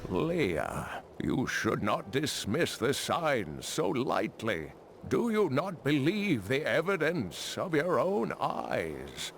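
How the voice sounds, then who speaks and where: An actor playing an elderly, earnest scholar in a fantasy game, creaky-voiced and deliberate, like a solemn storyteller. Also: male voice, medium pitch, wildly swooping pitch, background noise, exaggerated voice. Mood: insistent. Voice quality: creaky, aged. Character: elderly male scholar, kindly, earnest, prophecy-obsessed. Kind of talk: theatrical fantasy game dialogue.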